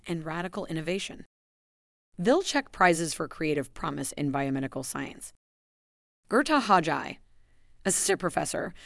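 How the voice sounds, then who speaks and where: A woman with a low, deep voice reads out a formal announcement. Her delivery is measured and even. The recording is dry, with no room sound. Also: no echo. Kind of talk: scripted narration.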